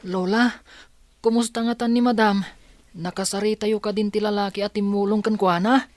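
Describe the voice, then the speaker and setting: A woman's voice: mid-range voice, little pitch movement, moderate pace, dry recording, close to an everyday voice. A woman acting as an anxious female helper in a radio drama. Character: anxious female helper attending her madam.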